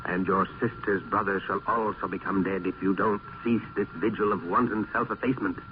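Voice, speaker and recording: An actor playing a grave philosophical friend and narrator in a vintage radio play, with solemn, florid, oratorical delivery. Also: male voice, deep voice, fairly even intonation, a little fast, lightly acted. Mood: urgent, stern. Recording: tinny, noisy old radio recording.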